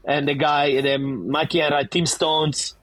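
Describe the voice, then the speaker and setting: A man's voice: a little high, flat delivery, measured pace, a bit bright, dry recording. A middle-aged man from Italy with a thick Italian accent, a bar owner talking animatedly and emphatically in a casual interview, often restarting his sentences.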